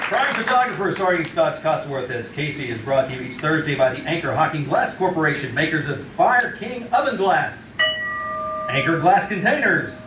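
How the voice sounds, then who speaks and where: A man's voice: a little high, expressive, normal speed, a crowd in the background, noisy background, lightly acted. A man playing an emphatic, polished 1940s radio announcer selling for a sponsor. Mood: formal sign-off. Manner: emphatic salesman delivery. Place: live theater stage. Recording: echoey stage, distant, loud music.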